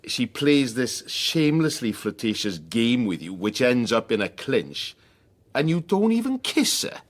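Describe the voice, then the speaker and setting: Sardonic, animated friend. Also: male voice, medium pitch, unhurried. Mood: incredulous. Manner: sardonic, emphatic. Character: sardonic, mocking male friend, bluntly exasperated. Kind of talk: radio drama acting.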